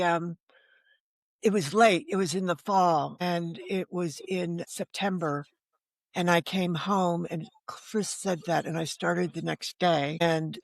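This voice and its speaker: A woman's voice: fairly low pitch, creaky, dry recording. A woman of seventy talking conversationally as an interview guest, telling personal stories about her own life. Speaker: podcast guest, novelist.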